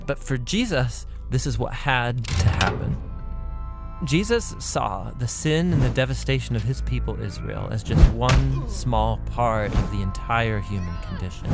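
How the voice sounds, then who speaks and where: A man co-hosting an educational video, giving scripted but conversational explanations with emphatic phrasing. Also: medium pitch. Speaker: explainer, co-host of an educational Bible video series. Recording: music bed behind the voice. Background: clear background music.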